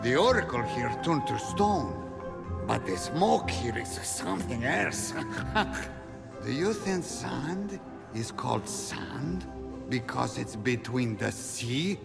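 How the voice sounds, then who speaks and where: A man's voice: mid-range voice, very animated, slow, gravelly, full character voice. A man voicing a spaced-out, giggly temple priest who rambles dreamily, in a comic video game cutscene. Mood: dazed, musing. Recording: long reverb, music behind.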